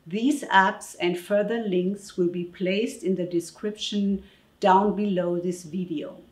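A middle-aged woman giving a scripted public information address in a measured, formal, deliberate way. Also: medium pitch, fairly even intonation. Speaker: presenter of advice for parents. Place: indoor office room. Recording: echoey room.

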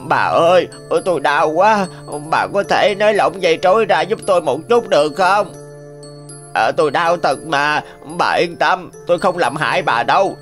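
Sly, gluttonous cartoon tanuki played by a man, whiny and muttering, lively swooping pitch. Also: moderate pace, faint music behind. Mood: whiny pleading. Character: sly greedy thieving tanuki, gluttonous and wheedling. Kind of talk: animated cartoon dialogue.

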